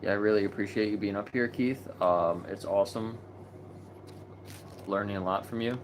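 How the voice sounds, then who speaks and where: A man hosting a live video chat, talking in a relaxed, chatty way with many fillers. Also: fairly low pitch, normal speed, slight background noise, poor audio. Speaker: host of a live video chat about animal rights. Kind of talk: casual livestream chat.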